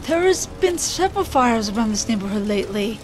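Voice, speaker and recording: A woman's voice: on the high side, sing-song, a little fast, a little mellow. A woman acting in an audio drama as a concerned, helpful neighbour. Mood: concerned, apologetic. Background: faint background music.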